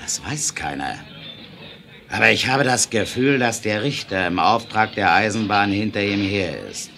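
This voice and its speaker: An actor playing a reformed Wild West bandit in a 1970s German audio drama, gossiping in a folksy, conspiratorial way. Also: male voice, mid-range voice, fairly bright tone. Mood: confiding, gossipy. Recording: noisy old vinyl radio-play recording.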